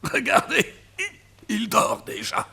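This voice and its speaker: An actor voicing a spiteful, wounded gangster who is dying and short of breath in a radio drama. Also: male voice, very high-pitched, slow, bright, forceful tone, full character voice. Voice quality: raspy.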